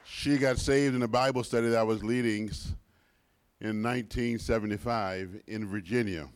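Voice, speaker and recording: An older man in his sixties, deep and low-pitched, delivers a sermon as a visiting pastor. He leans on repetition and swings between soft and loud passages. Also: fairly even intonation, normal speed, clear, resonant tone. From United States. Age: 60s. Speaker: guest pastor. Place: church sanctuary.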